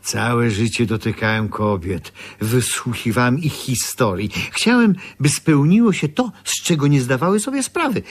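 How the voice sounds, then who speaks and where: A man's voice: mid-range voice, slightly rough, heavily acted. An actor playing an unctuous, self-important male corset-maker in a radio drama, theatrical and insinuating.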